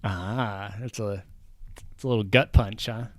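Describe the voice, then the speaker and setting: A man's voice: on the low side, steady tone, measured pace, dry recording. A man chatting casually on a game stream, rambling and wry, often trailing off with um and uh.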